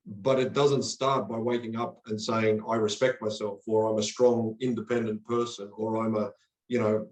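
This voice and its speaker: An earnest man from Australia talks like a motivational coach, often doubling words as he gets going. His voice sits at an ordinary pitch. The room around him is echoey. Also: a little mellow. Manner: earnest, motivational, repeats words when starting phrases. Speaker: podcast guest, coach. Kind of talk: conversational interview.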